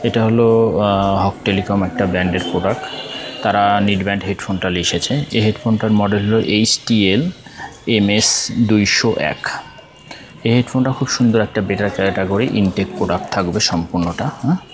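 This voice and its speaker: A man with a Bangladeshi accent speaks in a fairly low voice at a quick clip. He presents products like a shop seller walking customers through features off the cuff, circling back over his points and dropping in small verbal check-ins. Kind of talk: unscripted product demonstration.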